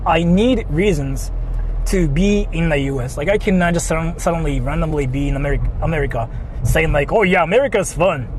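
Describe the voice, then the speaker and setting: A man with a Japanese accent, a native Japanese speaker, chatting casually and in a rambling, repetitive way as he livestreams from his car. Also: fairly high pitch. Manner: rambling, repeats himself, crude joking. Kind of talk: casual livestream chat, reading donations. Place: inside a car while driving. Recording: noisy moving car interior.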